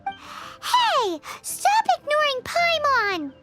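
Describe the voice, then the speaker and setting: A woman voicing a small, childlike fairy companion in a video game, chatty, cheeky and blunt, with a squeaky voice. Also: huge pitch swings, very slow, bright, forceful tone, faint music behind, heavily acted. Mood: indignant, teasing. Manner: speaks of self in third person, blunt, nagging. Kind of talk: animated video game character acting.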